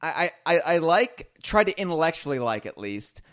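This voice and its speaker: Guy hosting a podcast, smooth resonant voice, rambles and jokes about himself. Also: fairly high pitch, very animated, moderate pace, a bit bright. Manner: rambling, self-deprecating joker. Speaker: podcast host. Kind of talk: casual podcast chat.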